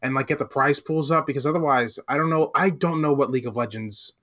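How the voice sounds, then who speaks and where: A man's voice: mid-range voice, fast talker, a bit bright. Tentative man, slightly dull lo-fi sound. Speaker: podcast co-host. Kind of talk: casual gaming podcast chat.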